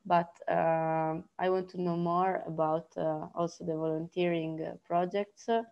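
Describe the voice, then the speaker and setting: Slow, flat-voiced woman from Italy. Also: on the low side, vocal fry, smooth, lo-fi sound. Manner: halting, many fillers. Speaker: workshop participant. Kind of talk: self-introduction on a video call. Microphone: video call.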